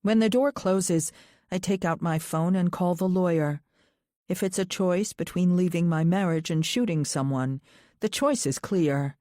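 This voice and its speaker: A woman's voice: on the low side, unhurried, dark tone, no room sound, studio quality, lightly acted. A woman narrating a thriller audiobook in the first person as a troubled, resolute wife. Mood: grave, resolute.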